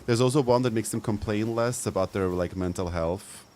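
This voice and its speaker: A man's voice: fairly low pitch, normal speed, a little mellow, slight background noise. A young man live-streaming a game, chatting casually in a campy, playful way and laughing often. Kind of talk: casual live-stream chat over gameplay.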